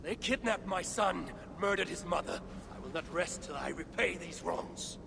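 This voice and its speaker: An actor playing a vengeful, grieving Norse god-warrior in a video game, with a gravelly voice and solemn, archaic phrasing. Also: male voice, high voice, expressive, moderate pace, crowd noise. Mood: bitter, remorseful. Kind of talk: dramatic video game acting. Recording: loud background noise.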